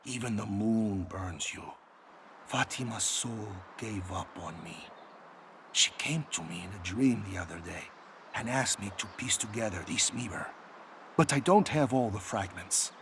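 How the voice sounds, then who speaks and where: An actor playing a heartbroken, guilt-ridden exile in a video game, speaking in a mournful, theatrical way. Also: male voice, lowish voice, unhurried, noisy background. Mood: anguished. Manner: mournful, theatrical, slipping into whispers. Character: heartbroken exiled man consumed by guilt over lost lover. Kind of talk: dramatic video game dialogue.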